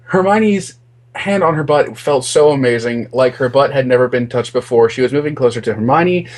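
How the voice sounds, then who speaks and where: A man's voice: mid-range voice, normal speed. A man giving a comic dramatic reading of fan fiction in a mock-earnest manner, as a podcast host. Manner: mock-earnest, often breaking into laughter.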